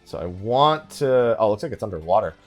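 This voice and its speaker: A man giving casual let's play commentary, rambling and thinking out loud with mild exasperation. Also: lowish voice, very animated, a little fast. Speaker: gaming video host. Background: game music.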